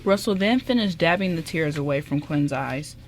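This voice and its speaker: A woman's voice: sits low, lively intonation, normal speed, background noise. A woman reading scripted narration for an amateur radio drama.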